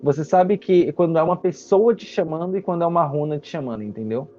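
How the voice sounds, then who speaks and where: A Brazilian game master narrating a tabletop roleplaying game with dramatic, unhurried pauses. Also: medium pitch, warm tone. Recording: muffled lo-fi audio with music. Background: music.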